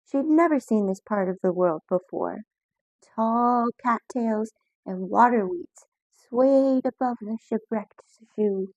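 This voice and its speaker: A woman reading a children's picture book aloud, lively and expressive, with voices for the characters. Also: a little high, takes it slowly, dry recording, lo-fi sound. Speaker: storyteller.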